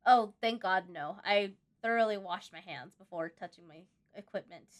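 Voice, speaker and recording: A woman's voice: medium pitch, moderate pace. A woman hosting a casual let's-play, reading game text aloud and breaking off into chatty asides. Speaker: host of a gaming channel.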